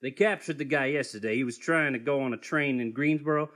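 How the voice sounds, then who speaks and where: A man playing an exasperated, defensive rogue in a live comic radio play, with a rough-edged voice. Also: medium pitch, a little fast, lightly acted. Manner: pleading, defensive, excitable. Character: Calvin, exasperated roguish brother accused of stealing. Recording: live theatre stage recording.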